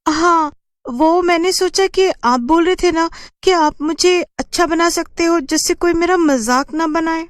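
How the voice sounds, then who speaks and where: Woman voicing a high-pitched, shy young village woman. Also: unhurried, no room sound, studio quality. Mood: hopeful. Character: shy, earnest young village woman seeking city work. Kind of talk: Hindi horror cartoon character voice.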